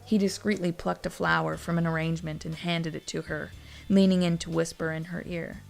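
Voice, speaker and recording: A female narrator with a fairly low voice that creaks often. She reads a scripted story with wry drama, and music plays underneath. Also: little pitch movement, fairly quick.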